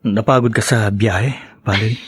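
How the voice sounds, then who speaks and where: A man playing a sincere, gentle and self-deprecating young man in an audio drama, speaking haltingly and from the heart. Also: fairly low pitch, steady tone, slightly rough, no echo. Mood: gentle, reassuring. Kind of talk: melodramatic radio-style drama acting.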